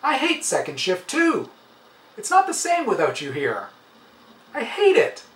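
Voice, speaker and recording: A man's voice: extremely high pitch, wildly swooping pitch, measured pace, fairly bright tone, pitched above the performer's own voice, full character voice. A man voicing an affectionate, chatty aging wife who chides and grumbles mildly in a read-aloud story. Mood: mildly grumbling. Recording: roomy, slightly distant, faint noise.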